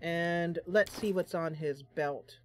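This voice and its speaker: A woman's voice: lowish voice, takes it slowly, a little hiss or hum. A woman giving casual, chatty let's play commentary, thinking aloud and reacting as she plays. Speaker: gaming channel host.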